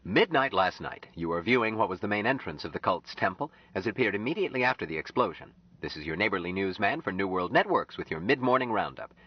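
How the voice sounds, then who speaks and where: A slick male TV newsman with smooth, salesy patter, put on by the audiobook narrator. His low voice bounces through the lines. The audio is a bit dull. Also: expressive, fast, few pauses.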